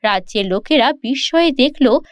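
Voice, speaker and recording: A woman with a very high voice narrates a children's fairy tale as a storyteller. The delivery is scripted. The sound is close and dry, free of room echo. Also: lively intonation, moderate pace.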